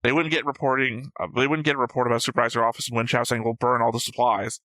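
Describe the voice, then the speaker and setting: A man's voice: medium pitch, flat delivery, very fast, pushed and bright, no echo, poor audio. A man co-hosting a reaction show, chatting casually in a blunt, argumentative, sweary way. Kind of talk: casual discussion of an anime episode.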